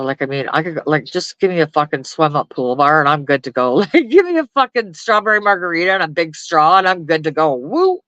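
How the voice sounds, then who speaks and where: A woman's voice: fairly low pitch, dry recording, dull audio. A woman on a phone live stream, ranting and chatting with viewers in blunt, heavily profane casual talk, often laughing. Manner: blunt, swears constantly, laughs often, talks to chat and dog. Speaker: livestreamer. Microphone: phone held close.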